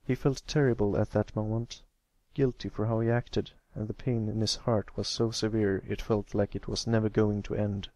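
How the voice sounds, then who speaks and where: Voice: mid-range voice, little pitch movement, normal speed, a little mellow, no echo. An amateur reader giving a scripted narration of a story.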